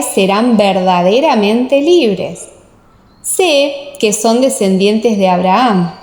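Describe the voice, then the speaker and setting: A woman's voice: on the high side, darkish tone. A woman voicing Jesus as a solemn, authoritative teacher in an audiobook radio play. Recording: echoey, long reverb.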